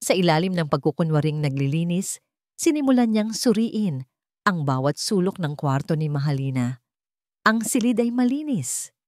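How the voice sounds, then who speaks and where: A woman narrating a scripted dramatic story in a measured, theatrical way. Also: sits low, a little mellow, dry recording, studio quality.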